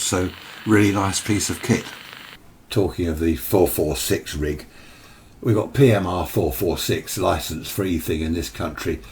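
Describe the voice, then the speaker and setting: A British man giving a casual equipment demonstration, matter-of-fact and rambling, often asking himself questions as he goes. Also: sits low. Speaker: amateur radio hobbyist presenter. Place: indoor room with radio gear. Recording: steady background noise.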